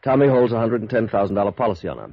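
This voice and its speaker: Male: fretful businesslike adjuster in vintage radio drama, rough-edged voice, animated, muffled dry recording. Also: lowish voice, rapid-fire, no echo. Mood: briefing. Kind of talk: 1950s radio drama acting.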